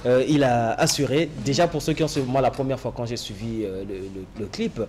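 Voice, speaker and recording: A man hosting a TV interview, speaking in a halting way with many hesitations. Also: medium pitch, crowd noise. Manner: halting, many "euh" fillers. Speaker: TV show host.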